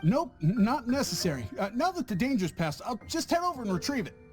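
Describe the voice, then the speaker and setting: Stammering, cowardly merchant. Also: male voice, very high-pitched, very animated, fast, faint music behind. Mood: nervous. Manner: stammering, panicky, pleading. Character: cowardly, nervous visiting merchant, a schemer.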